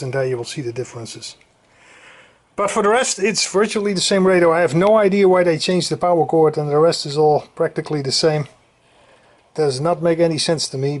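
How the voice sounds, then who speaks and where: A man giving a casual technical show-and-tell as a radio restorer, halting and searching for his words as he explains. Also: on the high side, a little mellow. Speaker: radio restorer presenting a demonstration.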